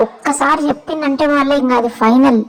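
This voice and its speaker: A woman's voice: sits high, fast, rough recording. A woman voicing a stubborn, sassy pet dog who bosses people around in a snappy, exasperated way. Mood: bossy grumbling.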